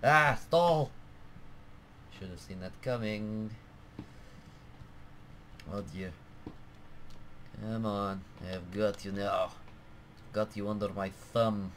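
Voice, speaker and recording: A man commentating his flight simulator game in a mock Russian accent, joking and rambling between bursts of excitement. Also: on the low side, slow, pauses often, a little hiss or hum. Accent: put-on Russian accent. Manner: jokey, excitable, bursts of alarmed shouting. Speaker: gameplay commentator. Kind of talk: casual let's-play commentary.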